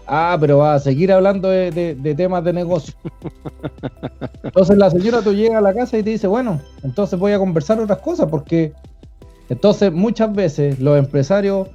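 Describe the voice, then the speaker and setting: A man's voice: fairly high pitch, mellow. A man speaking as a business panelist, explaining conversationally and repeating words as he goes. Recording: dull lo-fi audio, music behind.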